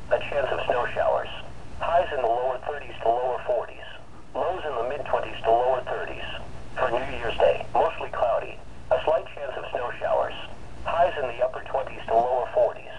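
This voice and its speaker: A synthetic-sounding man reading an automated weather bulletin in a measured, formal way through a radio speaker. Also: mid-range voice. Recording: muffled, noisy weather radio broadcast.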